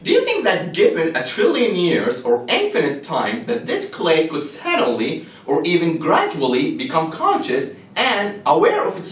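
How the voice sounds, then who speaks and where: A man's voice: fairly high pitch, fairly bright tone. A man giving a scripted, persuasive argument with rhetorical questions in an emphatic, argumentative manner. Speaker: presenter of a religious argument. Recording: echoey room, distant from the mic.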